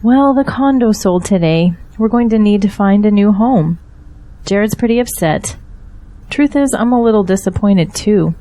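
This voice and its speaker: Woman acting as weary mother, candid, resigned, mellow timbre, medium pitch, audio drama. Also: expressive, normal speed, natural voice. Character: weary, candid, grateful mother facing hardship.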